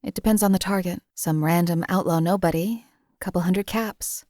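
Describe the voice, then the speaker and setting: A woman playing a deadpan cold assassin. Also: fairly low pitch, normal speed, no echo, studio quality, close to an everyday voice. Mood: detached. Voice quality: calm, clipped, deadpan. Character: cold detached female assassin companion. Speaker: game companion. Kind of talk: game voice lines.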